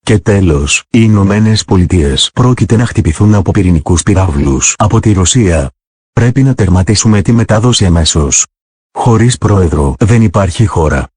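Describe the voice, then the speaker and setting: A man's voice: low voice, lively intonation, fairly quick, darkish tone, breathy edge, no echo, clean studio sound. A synthetic-sounding man reading a scripted emergency news announcement in a formal manner. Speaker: government broadcast announcer.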